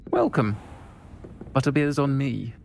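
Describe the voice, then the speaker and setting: A man's voice: mid-range voice, a little slow, creaky, a little mellow, a little hiss or hum. An actor with a man's voice playing an unflappable pub landlady in a game, assured and dry. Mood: friendly. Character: welcoming, unflappable pub landlady witch, protective and firm.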